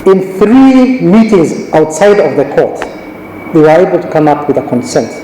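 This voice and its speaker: A middle-aged man from Kenya gives a measured conference talk, telling stories and often restarting his sentences. His voice is fairly high and mellow. It carries through a large hall with a long echo and faint background ambience. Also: very animated, a little hiss or hum. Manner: measured, anecdotal, with frequent restarts. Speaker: judge giving a conference talk. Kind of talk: conference speech. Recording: echoey hall recording.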